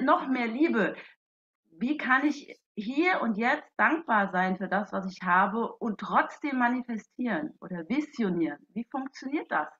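A woman's voice: mid-range voice, fairly bright tone. A woman taking part in an online seminar, hesitantly putting a personal question in her own words. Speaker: seminar participant. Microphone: online call microphone. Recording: dull, lo-fi online call audio.

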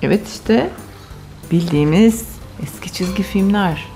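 A woman's voice: medium pitch, a little slow, vocal fry, mellow. Casual woman chatting over background music. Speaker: parent hosting a family game video from behind the camera. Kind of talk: casual playful family chat. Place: home living room.

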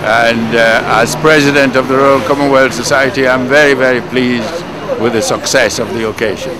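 An older man, president of a society, giving a measured comment outdoors at an event. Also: medium pitch, fairly even intonation, background noise. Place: outdoors at a crowded street event. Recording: busy crowd behind.

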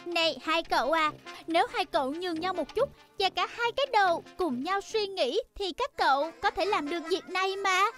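A woman's voice: very high-pitched, lively intonation, normal speed, a bit bright, soft background music. A woman voicing a sweet, sensible young girl fox in a children's cartoon dub, cheerful and girlish. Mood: reasonable.

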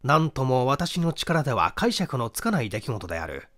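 Man reading a mystery, animated intonation. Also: mid-range voice, moderate pace. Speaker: audiobook reader, stage actor. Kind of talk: scripted literary narration of a detective story.

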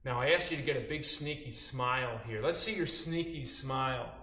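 A man working as a children's storyteller, animated and instructive, pausing between phrases as he invites listeners to act along. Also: mid-range voice. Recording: echoey room.

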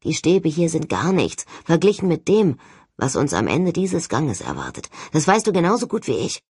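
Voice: medium pitch, huge pitch swings, normal speed, darkish tone, slightly rough, no room sound, studio quality, full character voice. A narrator voicing a daring, stubborn young man who leads a ghost-hunting team with decisive authority in an audiobook. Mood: determined, urgent.

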